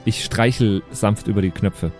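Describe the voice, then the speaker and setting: A man's voice: lowish voice, moderate pace, a little mellow, soft background music, lightly acted. A man playing an impatient, naive fantasy adventurer who is childishly eager for destruction in an improvised audio game. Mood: impatient, literal.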